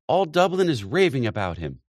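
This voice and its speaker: A man's voice: very animated, fairly quick, no room sound, studio quality. Man doing a gushing, bustling hostess. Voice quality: high, chattering and gushing. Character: older woman bustling hostess, eager and gushing.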